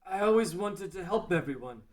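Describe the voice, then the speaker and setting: A man playing a feeble old sage full of regret in an amateur fantasy table read, speaking in a halting, grand way. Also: high voice, lively intonation. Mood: regretful. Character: feeble old male sage, weary and self-reproaching.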